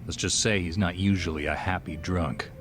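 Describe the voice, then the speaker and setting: A man's voice: sits low, fairly even intonation, normal speed, over music, natural voice. A man acting a dry, kindly, laid-back bartender in a video game cutscene, with a wry manner. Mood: wry, fond.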